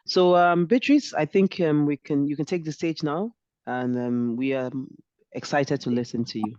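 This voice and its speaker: A man moderating an online event, introducing a guest speaker in a halting way with many fillers over a video call. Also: on the high side, darkish tone, no echo. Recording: dull dry call audio.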